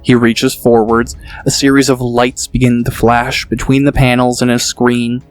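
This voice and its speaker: A man narrating an audiobook in a steady, descriptive storytelling manner. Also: medium pitch, moderate pace, faint music behind.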